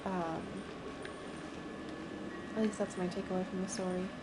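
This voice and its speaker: Woman game streamer over very loud noise. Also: on the low side, steady tone. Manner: halting, thinking aloud. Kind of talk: casual live gameplay commentary. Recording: loud background noise on livestream.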